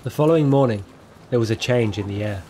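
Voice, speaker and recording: A young man calmly narrating his own outdoor journey as a scripted documentary voice-over, with a measured, reflective delivery. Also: mid-range voice, a little hiss or hum. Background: music playing under the voice.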